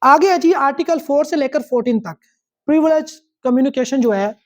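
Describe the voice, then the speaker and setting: A woman's voice: high voice, brisk. A woman with a Pakistani accent lecturing on law, reading out statutes and explaining them instructively, repeating phrases and checking understanding.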